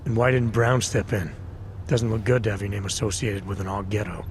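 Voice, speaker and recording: Man acting low-voiced, skeptical game hero. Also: flat delivery, brisk, a little hiss or hum, lightly acted. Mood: challenging. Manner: terse, dry, questioning. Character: guarded, skeptical, dry-witted male action game hero. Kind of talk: video game dialogue acting.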